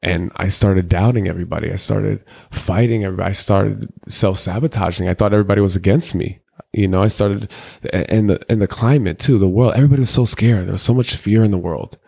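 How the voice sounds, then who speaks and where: Deep gravelly-voiced man telling stories. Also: fast talker, dark tone. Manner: loose, slangy, rambling storytelling. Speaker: rapper and interview guest. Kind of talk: casual candid interview talk.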